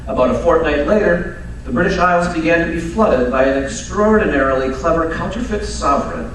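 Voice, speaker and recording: A man's voice: on the high side, fairly quick, fairly bright tone, natural voice. A man playing a confident, brilliant detective in a radio mystery, precise, unruffled and dryly commanding. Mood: assured, explaining. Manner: precise, authoritative, unruffled explaining. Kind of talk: old-time radio mystery acting. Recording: very echoey hall, distant mic, faint noise.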